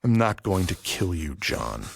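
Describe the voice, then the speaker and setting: A bitter vengeful barber dad, slow menace, pitch swings a lot, really dry studio sound. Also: male voice, very animated, vocal fry, husky, dry recording, full character voice. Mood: grim restraint. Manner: menacing, deliberate, theatrical. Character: vengeful grieving father, a barber settling an old debt. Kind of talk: audio play acting.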